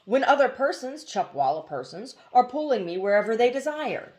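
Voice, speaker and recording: A woman's voice: fairly high pitch, sing-song, moderate pace, a little mellow, pitched above the performer's own voice. A woman voicing a gloomy mechanical hoopoe bird from a storybook, lamenting in oddly broken phrases. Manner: lamenting, fractured odd syntax.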